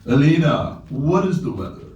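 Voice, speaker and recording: A man's voice: fairly high pitch, very animated, moderate pace, muffled recording, a crowd in the background. A man playing a lonely, anxious, awkward man who lives alone, hesitant and sighing, in a live comedy table read. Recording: echoey room, distant mic, faint noise.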